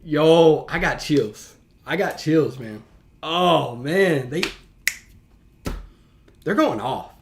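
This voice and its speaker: A man's voice: on the high side, animated, extremely slow, long pauses, noisy background. A man giving casual, enthusiastic reaction commentary as a streamer, speaking in informal slang and often repeating himself. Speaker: teacher and streamer hosting a reaction live stream.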